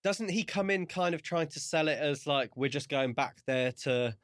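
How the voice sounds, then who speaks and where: A man's voice: a little high, moderate pace, fairly bright tone, no echo, studio quality. A man co-hosting a podcast, talking casually and thoughtfully about a book. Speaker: podcast co-host.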